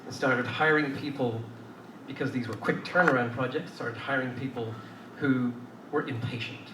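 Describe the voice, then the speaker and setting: A middle-aged man with an Irish accent, speaking to an audience in a loose, anecdotal way with many fillers. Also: medium pitch. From Ireland. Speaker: panel speaker. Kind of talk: conversational talk to an audience. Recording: roomy far-off hall recording with noise.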